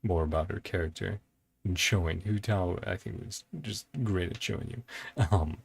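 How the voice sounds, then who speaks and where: A man's voice: low voice, steady tone, normal speed, vocal fry, husky. A man giving casual, off-the-cuff reaction commentary, thinking aloud in short broken phrases. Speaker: host of a reaction and ranking video. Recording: music playing behind the voice.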